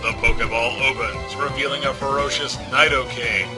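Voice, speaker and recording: A man's voice: medium pitch, steady tone, moderate pace. A man narrating a comic-book story as an audiobook, reading from a script. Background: music.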